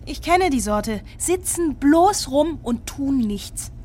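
A woman playing a defiant, sharp-tongued woman in an audio drama, sarcastic and exasperated with the people helping her. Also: sits high, expressive, slow, a little hiss or hum. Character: defiant, impatient young woman seeking help, sharp-tongued. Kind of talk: naturalistic German audio drama acting.

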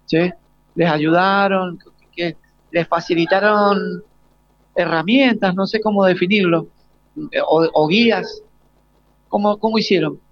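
A man with an Argentine accent and a notably high voice conducts an informal interview. His questions come haltingly, restarting as he goes. The sound is very dry and slightly lo-fi. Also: fairly even intonation, dry recording. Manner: hesitant, halting questions with repeated starts. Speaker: radio interviewer. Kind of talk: informal live interview with students. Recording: slightly dull, lo-fi live broadcast.

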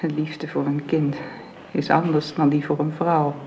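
A weary bedridden wife. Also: female voice, deep voice, flat delivery, slight background noise. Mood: resigned. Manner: soft, halting, often whispered. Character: long-ill bedridden wife, gloomy and resigned. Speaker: bedridden invalid. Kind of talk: radio drama acting. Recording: dull lo-fi old radio recording.

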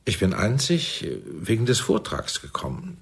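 An actor playing a shrewd, commanding intelligence chief in a German radio play, speaking deliberately with sardonic persuasion. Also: male voice, fairly low pitch, very animated, breathy edge, heavily acted. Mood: politely measured.

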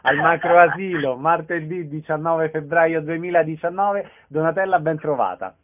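A man's voice: fairly high pitch, normal speed. A man hosting a casual radio interview, asking questions and making announcements. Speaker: interviewer, host. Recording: noisy, tinny telephone-like line with clicks.

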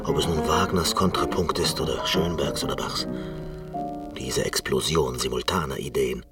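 A man's voice: a bass voice, normal speed, far from the mic, over loud music. An actor playing a neurotic, eccentric male concert pianist who muses confidingly in an introspective radio play monologue. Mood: musing, obsessive. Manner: introspective, musing narration.

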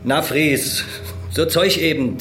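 An actor playing a gruff, laconic male police officer in an understated radio drama, terse and offhand. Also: a little high, takes it slowly, a bit bright, soft background music. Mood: guarded, offhand.

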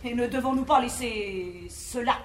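An actress playing an imperious, pedantic bourgeois wife, declaiming pompously in a classical French verse comedy. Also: female voice, on the high side, normal speed, close to an everyday voice. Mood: scornful. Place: theatre stage. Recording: roomy 1950s theatre recording, faint noise.

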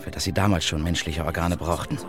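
An actor playing a rational, wary male investigator in a radio drama, measured and matter-of-fact. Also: low-pitched, fairly even intonation, reverberant, in a large hall, music in the background, close to an everyday voice. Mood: thoughtful. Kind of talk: German radio drama acting.

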